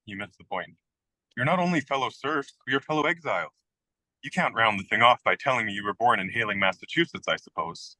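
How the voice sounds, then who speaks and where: A whimsical young American writer in a radio comedy, acted by a man. The voice is very bright and forward, recorded dry and close. He talks in wry, theatrical flourishes and happily pokes fun at himself. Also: mid-range voice, animated, brisk, no room sound, poor audio. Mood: wry, mock-dramatic. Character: self-deprecating, whimsical American male writer of twenty-six. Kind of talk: radio play comedy dialogue.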